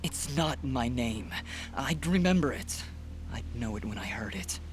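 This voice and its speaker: An actor playing a brooding young warrior in a video game, speaking in an earnest, hushed, troubled way. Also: male voice, mid-range voice, expressive, unhurried, lightly acted. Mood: troubled, confused. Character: brooding young male anthropomorphic warrior with lost memory. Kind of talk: video game cutscene acting.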